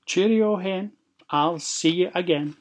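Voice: mid-range voice, slow pace, pitched above the performer's own voice. A storyteller of unclear sex voicing a sly, coaxing male wolf from a storybook in a Scottish accent. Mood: wheedling, friendly. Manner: coaxing, exaggeratedly friendly. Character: sly wheedling male wolf, falsely sweet and predatory. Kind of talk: storybook character voice.

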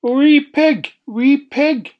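A reader with a Scottish accent voicing a sly old grey male wolf in a storybook, coaxing in a drawn-out voice. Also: high-pitched, muffled recording, pitched above the performer's own voice.

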